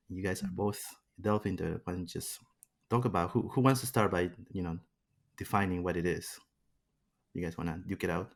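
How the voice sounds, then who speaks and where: A man's voice: sits low, gravelly. A man hosting a podcast, talking in a loose, rambling way with many restarts. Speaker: podcast host. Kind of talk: casual podcast chat.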